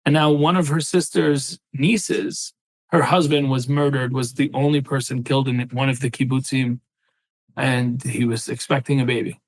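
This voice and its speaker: A man's voice: medium pitch, fairly even intonation, normal speed, no echo, low-quality recording. Passionate man in his thirties, dry close mic. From Syria. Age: 30s. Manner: earnest, passionate, with frequent "right?" asides. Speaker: guest. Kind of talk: personal storytelling in an interview.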